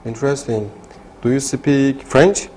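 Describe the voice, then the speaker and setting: A man's voice: mid-range voice, fairly even intonation, measured pace, darkish tone, slight background noise. A man in a classroom role-play, giving short, plain answers.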